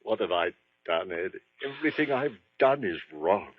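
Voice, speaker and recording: A man playing a distraught, weary scientist husband in a 1940s radio horror play, with a husky voice. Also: on the low side, animated, normal speed. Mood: shaken, grieving. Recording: dull old 1940s radio recording.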